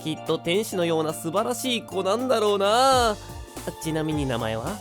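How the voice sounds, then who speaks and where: Performer as teenage schoolboy, energetic, excitable, teasing, very animated delivery, anime voice drama, background music. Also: medium pitch, moderate pace, lightly acted. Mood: teasing, amused. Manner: excitable, teasing, boyish slangy speech. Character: energetic, upbeat teenage schoolboy, passionate dancer. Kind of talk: anime-style voice drama acting.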